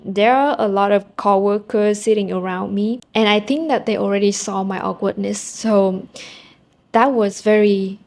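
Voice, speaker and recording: A woman's voice: mid-range voice, steady tone, moderate pace, a little mellow. Vietnamese woman vlogging in English. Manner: candid and self-deprecating, with easy fillers. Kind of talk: casual storytelling to camera. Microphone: small handheld camera mic.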